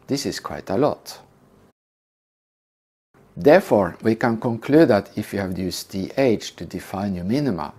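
An older man calmly teaching a scripted lesson on flying approaches. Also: fairly low pitch, breathy edge. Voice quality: calm, measured explanation. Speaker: flight instructor. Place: indoor room.

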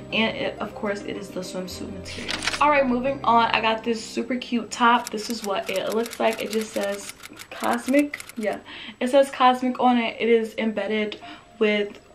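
Chatty raspy-voiced young woman vlogger. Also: a little high. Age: young adult. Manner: chatty, upbeat, lots of fillers. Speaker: fashion vlogger. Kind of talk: casual try-on haul chat. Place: bedroom with photo-collage wall, indoors.

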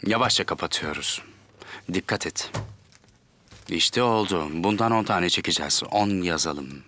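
A man acting as a helpful, patient office colleague in a children's TV drama dub, calmly giving instructions. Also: low voice, a little slow, full character voice. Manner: calm, instructing. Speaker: dubbing actor.

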